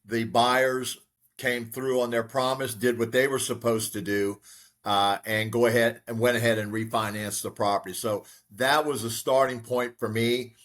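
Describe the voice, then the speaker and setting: A man in his sixties, a real estate broker, telling his life story as an interview guest in a steady, reflective way with frequent fillers. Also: medium pitch, normal speed. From United States.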